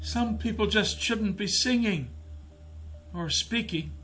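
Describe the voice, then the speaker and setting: A man's voice: high voice, takes it slowly, a bit bright, faint music behind, pitched above the performer's own voice. A man voicing a frightened, solemn little boy who speaks in simple, earnest phrases, in a storybook narration. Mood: disdainful.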